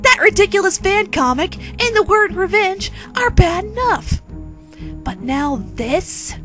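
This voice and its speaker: A woman's voice: very high voice, sing-song, unhurried, fairly bright tone, pitched above the performer's own voice, full character voice. A woman voicing a suave but flustered, exasperated young man in a comedic fan fiction reading. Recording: quirky music behind.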